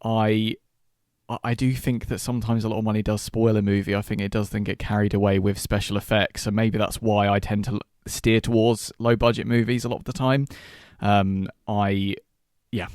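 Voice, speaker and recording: A man's voice: fairly low pitch, flat delivery, normal speed, darkish tone, clean tone, no room sound, studio quality. A man co-hosting a casual film podcast, putting his views tentatively with hesitant, restarted phrasing. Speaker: podcast co-host.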